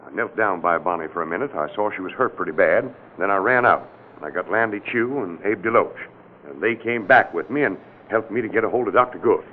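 A man playing an excitable, earnest, folksy small-town police chief in a 1940s radio crime drama. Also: fairly low pitch, brisk, natural voice. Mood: earnest recounting. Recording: noisy, narrow-band old radio recording.

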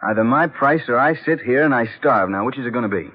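An actor playing a persistent young adventurer in an old radio drama, American, suave, wry and insistent. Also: male voice, mid-range voice, expressive, quick pace, slightly rough. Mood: pressing. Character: young man, brash, persistent adventurer-sleuth. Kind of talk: 1940s-50s radio acting. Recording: tinny old radio, faint music.

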